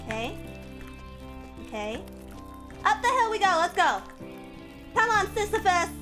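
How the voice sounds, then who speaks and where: High-voiced woman streamer with a stuffy cold, casual chat with bursts of yelling, clear game music under her. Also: unhurried. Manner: swings from mumbling to loud excited yelling.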